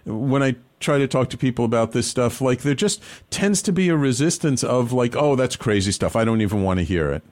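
Halting male podcast host. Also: medium pitch, animated, a little mellow. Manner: halting, many fillers and restarts. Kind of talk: interview hosting.

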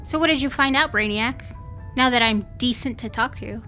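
Brash female space captain for audio drama: high-pitched, blunt, sarcastic, music underneath. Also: moderate pace, bright, forceful tone. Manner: sardonic, blunt, mocking.

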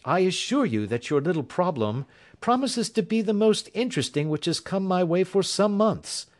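A man voicing a detective, aloof, crisp, clipped, raised pitch, lively intonation. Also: moderate pace. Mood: grave. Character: sharp, aloof male detective, brisk and grave. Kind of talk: audiobook character reading.

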